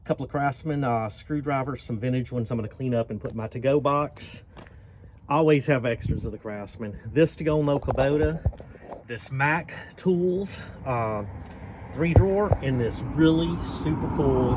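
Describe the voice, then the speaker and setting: A man vlogging casually off the cuff in a folksy, rambling, slangy way. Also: medium pitch. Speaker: host of a garage and farm vlog. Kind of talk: casual vlog chat, off the cuff. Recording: clearly noisy ambient background.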